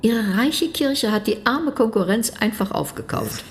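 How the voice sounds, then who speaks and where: Creaky, combative debater. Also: female voice, on the high side, lively intonation, a little slow. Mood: indignant. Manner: sharp, challenging. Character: combative, indignant modern debater. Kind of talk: German radio play acting.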